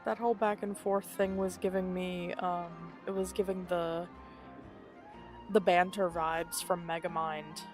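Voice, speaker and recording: A woman's voice: lowish voice, flat delivery, measured pace, music in the background. A woman streaming a video game, making casual, playful, jokey asides. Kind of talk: casual livestream commentary.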